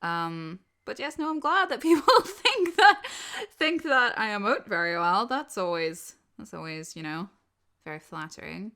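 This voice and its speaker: A woman's voice: mid-range voice, big pitch swings, a little slow, pushed and bright. A woman chatting casually and expressively in a self-deprecating way, like an online creator answering questions from followers. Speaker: audio roleplay creator and host. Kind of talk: casual subscriber Q&A, chatty talk.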